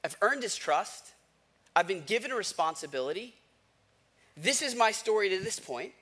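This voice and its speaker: An American man delivers a sermon in a high, bright voice with a forceful edge. He speaks conversationally as a pastor would, leaning on repeated phrases and rhetorical questions for emphasis.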